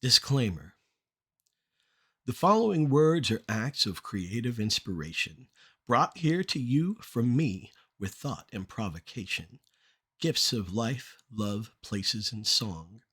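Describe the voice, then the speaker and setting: A man's voice: medium pitch, very animated, slow, sharp tone, breathy edge. Middle-aged man reciting spoken-word poetry.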